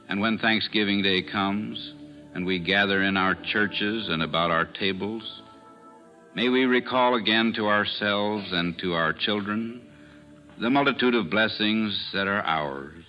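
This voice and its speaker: A man's voice: lowish voice, measured pace, soft background music. A minister, a man, speaking a solemn prayer on an old radio broadcast. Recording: dull old radio recording.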